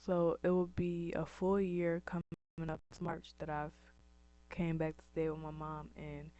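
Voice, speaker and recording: Scratchy-voiced young woman vlogging, slow and rambling. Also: deep voice, darkish tone. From upstate New York. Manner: rambling, lots of ums and likes. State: scratchy, dry throat. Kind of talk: casual chatty vlog. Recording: dull lo-fi recording.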